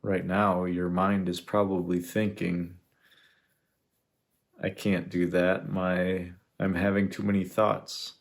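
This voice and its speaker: A man leading a guided meditation, speaking in an unhurried, reflective way with long pauses between phrases. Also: low-pitched, flat delivery, creaky. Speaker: meditation guide, spiritual teacher. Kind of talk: guided meditation, contemplative teaching.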